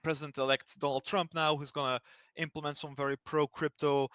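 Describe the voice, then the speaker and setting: A man from Belgium giving explanatory answers as an interview guest. His voice is bright and a little high, and the sound is close and dry. He feels his way through sentences with halting restarts. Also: little pitch movement, clean tone, no echo. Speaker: interview guest speaking for a blockchain project.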